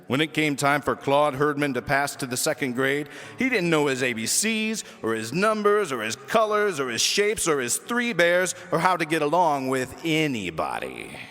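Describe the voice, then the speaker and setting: A man's voice: medium pitch, a bit bright, big-room sound. A man reading a children's book aloud as a storyteller, with theatrical, emphatic delivery. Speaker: audiobook reader.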